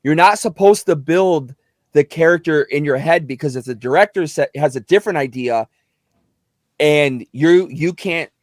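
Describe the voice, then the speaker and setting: Middle-aged actor, slightly whiny, rambling chat, dry close mic. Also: male voice, fairly high pitch, moderate pace, dry recording. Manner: animated, rambling, many restarts. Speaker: actor and guest. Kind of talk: casual video chat.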